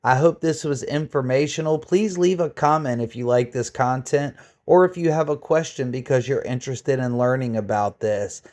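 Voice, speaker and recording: A man's voice: mid-range voice, normal speed, few pauses, a little mellow. A man giving an informal, step-by-step how-to narration as the host of a screen-recorded tutorial.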